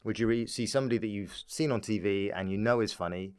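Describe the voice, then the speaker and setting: A British man chatting casually as a comedian guest on a podcast, rambling excitably through his anecdotes and often chuckling. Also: on the low side, quick pace, clear, resonant tone. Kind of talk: casual chat.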